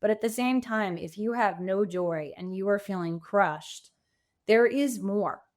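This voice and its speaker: A woman talks as a coaching guest on a podcast. Her voice sits at a medium pitch. She speaks reflectively in a conversational way, leaning on key phrases for emphasis. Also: a little slow, a little mellow.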